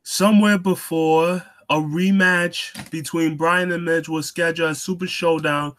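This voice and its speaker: A young man hosting a casual talk show on his own, getting over a cold and coughing now and then, halting with lots of ums and restarts. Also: a little high. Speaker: wrestling show host.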